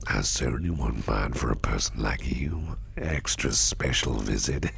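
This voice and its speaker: A man playing a sinister, flirtatious businessman boss in an ASMR roleplay, speaking close to the mic in a gravelly, breathy near-whisper with a teasing, menacing purr. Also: extremely low pitch, unhurried, fairly bright tone, full character voice. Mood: smooth, flirtatious.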